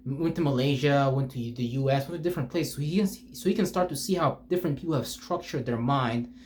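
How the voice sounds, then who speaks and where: A man's voice: medium pitch, quick pace, darkish tone, a little hiss or hum. A man giving an off-the-cuff, rambling motivational talk as a spiritual speaker, informal, slangy and profane, repeating himself for emphasis and putting rhetorical questions.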